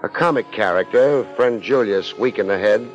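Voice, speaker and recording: A man's voice: fairly low pitch, normal speed, natural voice. An American man playing a hard-boiled, wisecracking private investigator in a 1950s radio drama, sardonic and unruffled. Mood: wry narrating. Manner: sardonic, unruffled, wry first-person narration and blunt questioning. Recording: muffled 1950s radio recording with music.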